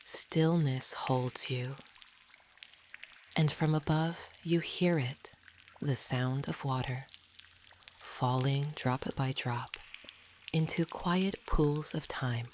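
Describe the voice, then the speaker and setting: A woman guides a meditation in a soothing, hushed, often near-whispered delivery. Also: extremely low pitch, slow pace, pauses often, a little hiss or hum. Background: dripping, pouring water sounds.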